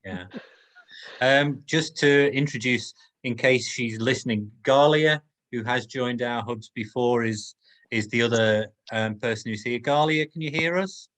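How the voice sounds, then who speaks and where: A man with an average-pitched voice hosts an online meeting. He speaks casually, with a hesitant but welcoming manner as he introduces people. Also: a little slow, fairly bright tone. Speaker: meeting host.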